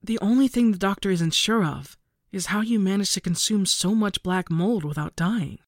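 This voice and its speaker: Narrator doing a gentle worried mom, soft, half whispering, dry close sound. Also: mid-range voice, moderate pace, no echo, voice pushed up, lightly acted. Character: gentle, worried middle-aged mother.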